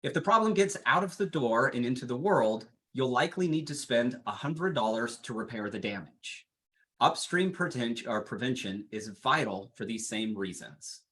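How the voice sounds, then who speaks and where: A man's voice: medium pitch, expressive, moderate pace, sharp tone. A man giving a training webinar presentation, reading steadily from prepared slides.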